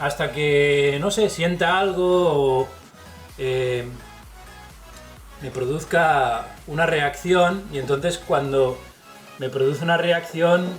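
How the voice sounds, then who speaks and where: A man in his forties, from Spain, talking about his painting in a relaxed, thoughtful way with many fillers. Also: fairly high pitch. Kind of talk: casual documentary interview.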